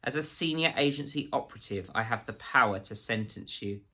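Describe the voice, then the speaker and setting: A man's voice: medium pitch, animated, a little fast, pushed and bright, breathy edge, full character voice. A man playing a prissy, menacing government agent in a story, officious, pompous and precise. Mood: icy condescension.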